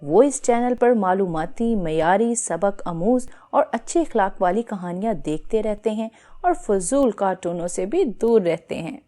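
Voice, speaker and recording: A woman playing a calm, sensible mother who reassures and advises a friend in a children's moral story. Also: medium pitch, close to an everyday voice. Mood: reassuring, helpful. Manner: reassuring, instructive.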